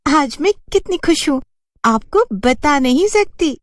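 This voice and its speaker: A woman's voice: high-pitched, expressive, a little slow, pitched above the performer's own voice. A woman voicing a shy, earnest young village woman in a cartoon story. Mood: happy. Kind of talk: Hindi horror cartoon character voice.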